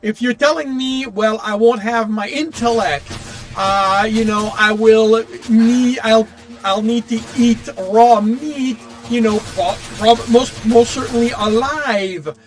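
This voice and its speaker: A man chatting casually while playing a game, explaining his thoughts in a halting, rambling way. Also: very high-pitched, a little hiss or hum. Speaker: game streamer. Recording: game music faintly behind the voice. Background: faint video game music.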